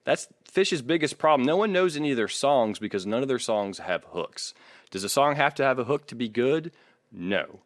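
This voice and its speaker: A man's voice: medium pitch, expressive, moderate pace, no room sound, studio quality. A man hosting a podcast, discussing music casually and bluntly, laying out his opinions point by point. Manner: blunt, makes points as a numbered list. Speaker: podcast host.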